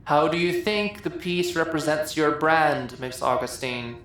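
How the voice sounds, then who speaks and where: A woman's voice: low voice, steady tone, measured pace, a bit bright, heavy reverb. A woman voicing a stern, formal official making curt announcements in an audiobook drama. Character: stern, formal official over theater speakers. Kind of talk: audiobook character acting.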